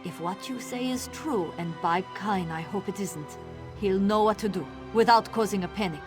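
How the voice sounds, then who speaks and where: A woman acting as a stubborn, wary female town guard in a fantasy game. She speaks firmly and with measured admonishment, at a middle pitch, over background music. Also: lightly acted. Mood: instructive. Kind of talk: video game dialogue acting.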